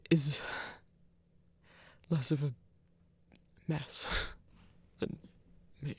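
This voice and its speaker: An actor playing a shy, insecure werewolf hunter in an intimate ASMR romance, stammering in a breathy, gravelly voice. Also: medium pitch, fairly even intonation, extremely slow, no room sound, exaggerated voice. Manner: hesitant, stammering, self-doubting.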